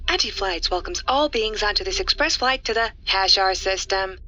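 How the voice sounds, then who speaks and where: A woman's voice: mid-range voice, lively intonation, moderate pace, pushed and bright, lo-fi sound. A woman playing a polite android flight attendant, making formal, courteous passenger announcements in a sci-fi audio drama. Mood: polite, official.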